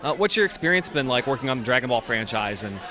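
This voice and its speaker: A man's voice: mid-range voice, lively intonation, quick pace, pushed and bright. A man interviewing a guest at a convention, upbeat and asking short prepared questions. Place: outdoors at a convention.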